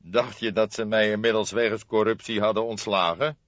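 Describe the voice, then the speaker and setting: A man's voice: fairly low pitch, fairly even intonation, moderate pace, no echo, highs cut off, lightly acted. A man voicing a grey-haired old police detective in an audiobook, authoritative and emphatic, with dry humour. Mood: drily witty.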